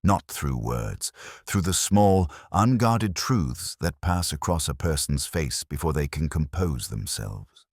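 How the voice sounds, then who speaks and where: A man playing a reflective, observant police inspector who narrates a detective audio drama in a measured, literary, brooding manner. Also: very deep voice, big pitch swings, a little mellow, husky, no echo, clean studio sound, heavily acted. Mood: pensive, measured.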